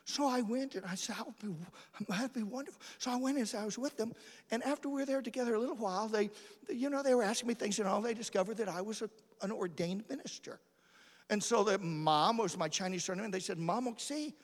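A man's voice: high voice, fast. A man preaching a storytelling sermon as a missionary, emphatic and dramatic, repeating phrases for effect. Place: large church or hall. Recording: hall reverb from a large room.

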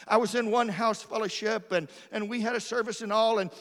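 A male preacher with a notably high voice, heard in a large hall with long reverb. He delivers his sermon as storytelling, with dramatic emphasis and phrases repeated for effect. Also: steady tone, fairly quick, a bit bright. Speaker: missionary preacher.

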